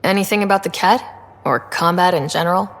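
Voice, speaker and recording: A woman's voice: on the low side, brisk, a bit bright, long reverb tail. A woman playing a supportive, level-headed young starship commander in a video game, casual and reassuring. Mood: casual, dry. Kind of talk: video game character acting.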